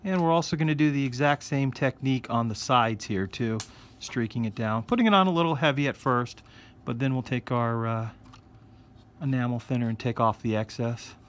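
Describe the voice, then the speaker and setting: A man hosting a casual scale-model painting tutorial, explaining each step in a relaxed way. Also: mid-range voice, moderate pace, a little hiss or hum. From United States. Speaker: hobby shop host. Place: hobby workbench indoors.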